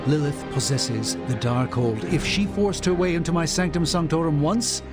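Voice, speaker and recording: An actor playing a grave, pompous sorcerer in a video game, speaking in formal, lofty, verbose phrases. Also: male voice, on the high side, moderate pace, lightly acted. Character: pompous, grave male sorcerer, master of mystic arts. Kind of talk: theatrical video game dialogue. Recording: loud music under the voice.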